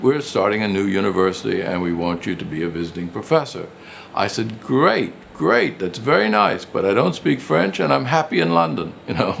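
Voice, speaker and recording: A man's voice: fairly low pitch, vocal fry, a little hiss or hum. An older man, a retired professor and writer, reminiscing casually in an interview, rambling and repeating phrases for emphasis.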